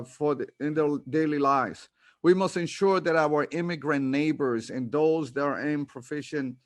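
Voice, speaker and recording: A man's voice: on the high side, no room sound, clean studio sound. A man from the Bronx chairing a city council committee and reading a formal opening statement on a remote video hearing, with occasional restarts. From New York City, Bronx. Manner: reads a prepared speech with occasional restarts. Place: remote hearing from home or office. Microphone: computer mic on video call.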